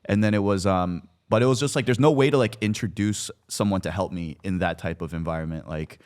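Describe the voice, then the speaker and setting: Low-voiced young man, slangy and candid. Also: fairly quick, darkish tone. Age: 20s. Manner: informal, slangy, occasional swearing. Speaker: podcast guest, content creator. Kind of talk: candid personal conversation.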